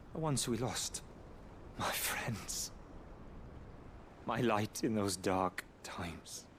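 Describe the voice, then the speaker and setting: An actor playing a grieving, earnest survivor of a cruel orphanage in a video game, speaking in a solemn, formal way. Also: male voice, mid-range voice, a little hiss or hum. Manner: solemn, formal, measured speech. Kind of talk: dramatic video game acting.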